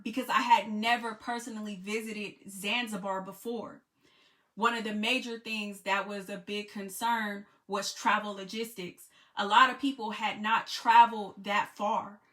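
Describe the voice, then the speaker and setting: American lady telling her travel story, bright voice, casual and chatty. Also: medium pitch. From United States. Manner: conversational, many "you know" fillers, grows excited. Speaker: travel vlogger and group trip leader.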